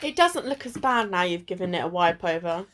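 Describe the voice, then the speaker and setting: An amateur actress playing a sensible, bossy, cautious friend in a radio play, with a dry, scolding manner. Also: female voice, fairly low pitch, lively intonation, brisk, fairly bright tone.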